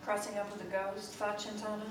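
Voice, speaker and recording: A woman's voice: mid-range voice, flat delivery, fairly quick. A woman reading a literary story aloud theatrically as part of a live stage ensemble. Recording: echoey live theatre, far-off mic, hissy.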